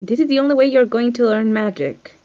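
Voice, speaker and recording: A woman's voice: a little high, fairly quick, dark tone, close to an everyday voice. Woman reading a commanding witch mentor, muffled audio. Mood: brisk, matter-of-fact. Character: commanding young female witch mentor, brisk and unsentimental. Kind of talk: screenplay table read. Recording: muffled online meeting audio.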